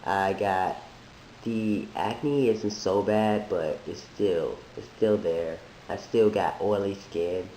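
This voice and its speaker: A man who is sick, talking in a halting, thoughtful way with many restarts, in a reflective personal monologue. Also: sits low, fairly even intonation, warm tone. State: sick, voice deeper than usual. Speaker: vlogger sharing a transition update. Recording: echoey room with faint hiss.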